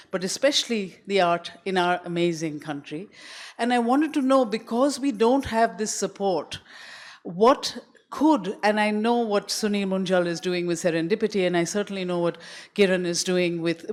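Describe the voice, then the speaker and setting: A woman, an artist in the audience, asking a long, winding question from the floor with emphatic enthusiasm. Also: medium pitch.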